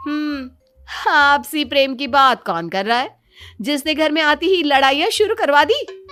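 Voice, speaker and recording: Woman voicing a high-pitched, tattling young daughter-in-law. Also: measured pace, fairly bright tone, soft background music. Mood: indignant. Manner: complaining, gossipy. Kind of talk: Hindi moral story cartoon dialogue.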